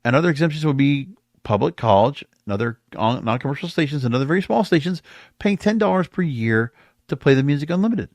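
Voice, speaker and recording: A man's voice: fairly high pitch, animated, quick pace, a little mellow, no echo, clean studio sound. A man with an American accent delivering opinionated podcast commentary as host, emphatic and stretching words for stress. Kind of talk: opinionated solo commentary on the music industry.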